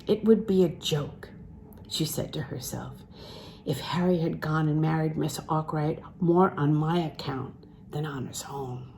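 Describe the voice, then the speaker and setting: A woman reads a literary novel aloud in an expressive storytelling style, with dramatic phrasing that can soften into hushed tones. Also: deep voice, unhurried, creaky, a little hiss or hum. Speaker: audiobook-style reader.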